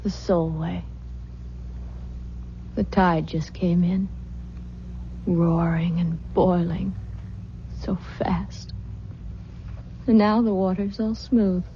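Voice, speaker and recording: An actress playing a listless, grieving young bride haunted by demonic dreams, withdrawn and brooding in an old-time radio drama. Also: female voice, on the low side, steady tone, drawn-out words, pauses often, slight background noise. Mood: withdrawn, dreamy. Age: young adult. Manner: withdrawn, brooding, breathy hushed intensity. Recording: muffled old radio recording with music.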